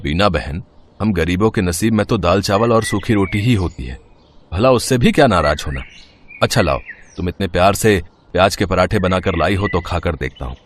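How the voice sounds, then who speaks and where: Guy doing a kindly humble vendor in a moral story, mild n low-key, faint background noise. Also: lively intonation, moderate pace. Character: humble, kindly poor onion-seller, brotherly man. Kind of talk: animated moral-story dialogue.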